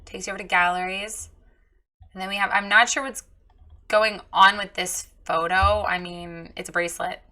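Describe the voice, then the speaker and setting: A woman with a hoarse, froggy voice from a recently lost voice, frankly reviewing a photographer's website in a chatty, encouraging way. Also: mid-range voice, steady tone, moderate pace, bright, forceful tone. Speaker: website reviewer giving feedback. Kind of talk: casual screen-share critique.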